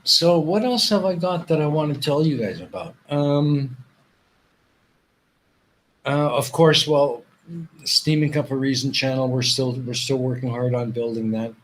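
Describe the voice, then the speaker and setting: A man's voice: mid-range voice, measured pace, a little hiss or hum, rough recording. Canadian man chatting on a live stream. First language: Dutch. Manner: rambling, repeats words, reads chat messages aloud. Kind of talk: casual live stream chat with viewers.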